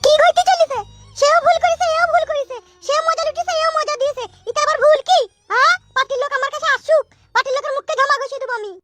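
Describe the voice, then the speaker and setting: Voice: very high voice, fast talker, fairly bright tone, dry recording, faint music behind. A performer voicing a defiant, quarrelsome young wife in a comic cartoon, whiny and argumentative. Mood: defiant, scornful.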